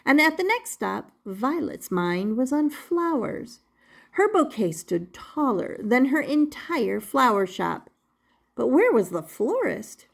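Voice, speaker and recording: Children's story narrator, older female: unhurried, deliberate, very expressive pitch movement. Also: creaky. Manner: deliberate, repeats phrases for young listeners. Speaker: storybook reader.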